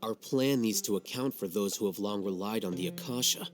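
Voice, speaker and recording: A calculating, aloof young scholar acted by a man from a video game. His voice is on the low side, articulate and composed, delivering each point with measured certainty. Music plays clearly behind him. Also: close to an everyday voice. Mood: lecturing. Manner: measured, matter-of-fact, articulate.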